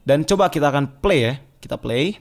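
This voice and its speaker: An Indonesian man hosting a casual software tutorial, talking informally to viewers and mixing in English terms. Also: on the high side, lively intonation, a little fast, vocal fry. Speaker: audio editing tutorial host.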